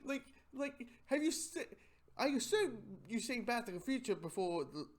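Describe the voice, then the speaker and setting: A man giving a casual spoken review in a rambling, halting way, often repeating his phrases. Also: extremely high pitch, very animated.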